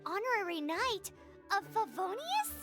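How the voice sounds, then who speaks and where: Casting: tiny squeaky fairy companion, extremely high, animated, cheeky and childlike, for a game. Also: female voice, a little slow, full character voice. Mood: amazed. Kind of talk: scripted video game voice acting. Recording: game music behind the voice.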